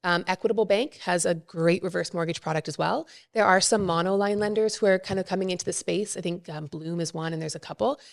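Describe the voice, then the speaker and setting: Low-voiced woman explaining mortgages. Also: quick pace, gravelly, few pauses. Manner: explains plainly, with frequent fillers. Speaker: mortgage expert guest. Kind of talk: casual podcast interview.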